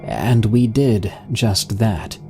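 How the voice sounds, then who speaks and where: A man reading a first-person story in an even, measured storytelling style. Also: lowish voice. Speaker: story narrator. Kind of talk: scripted first-person narration. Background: music.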